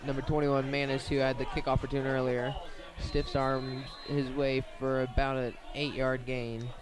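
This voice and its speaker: A man doing live radio play-by-play of a football game, describing each play step by step in a measured way with pauses. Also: mid-range voice, flat delivery. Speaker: play-by-play sports commentator.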